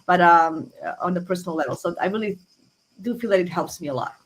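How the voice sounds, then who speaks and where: A woman in her forties with a Croatian accent and a slightly low voice. She talks casually about herself as a guest, repeating words and starting sentences over as she goes. She is speaking through a phone app on a video call. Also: rough recording. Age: 40s. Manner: halting, repeats words and restarts often. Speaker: guest astrologer. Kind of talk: casual interview talk, telling personal story.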